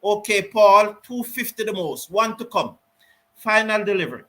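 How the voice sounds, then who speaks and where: A man giving live ball-by-ball cricket commentary and reading out viewer comments, excitable on big hits. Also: high voice, moderate pace. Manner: lively, rises excitedly on big shots, Caribbean English phrasing. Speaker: cricket watch-along commentator.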